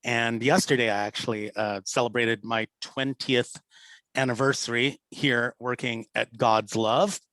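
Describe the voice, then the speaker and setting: A man's voice: medium pitch, measured pace, no echo, rough recording. A man in his forties, a chef, casually introducing himself on a video call with lots of fillers. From Philippines, raised in California. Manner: relaxed, rambling, many fillers. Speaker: senior sous chef.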